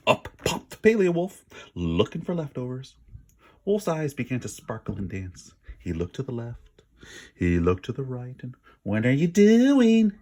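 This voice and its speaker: Expressive, husky-voiced man reading a storybook. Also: medium pitch, measured pace. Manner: dramatic, emphatic word stress. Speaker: storybook reader for children. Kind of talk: expressive read-aloud narration with casual asides.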